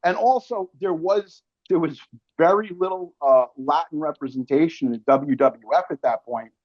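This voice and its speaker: A man's voice: fairly high pitch, moderate pace, no room sound, highs cut off. A man speaking as a podcast host in casual, emphatic interview chat, full of fan enthusiasm and loose swearing.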